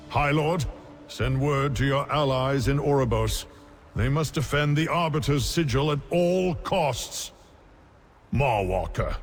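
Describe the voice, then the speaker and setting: A man voicing a wise lord and strategist, speaking calmly, formally and deliberately over soft game music. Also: medium pitch. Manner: calm, measured, formal. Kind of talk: video game cutscene acting. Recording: game score behind.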